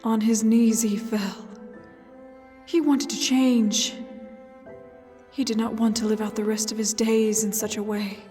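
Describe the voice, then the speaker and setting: Slow, solemn woman telling a fairy tale. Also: a little high, steady tone, dark tone, long reverb tail, soft background music. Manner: solemn, deliberate, theatrical pauses. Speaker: narrator. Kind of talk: dramatic storybook fairy-tale narration.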